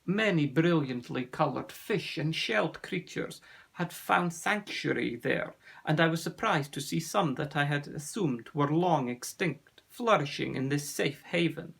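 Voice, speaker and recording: A man reading a children's story, voicing a proud, grave father sea serpent in a solemn, measured manner. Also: on the high side, expressive, gravelly, fairly bright tone, slightly rough, exaggerated voice. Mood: recounting.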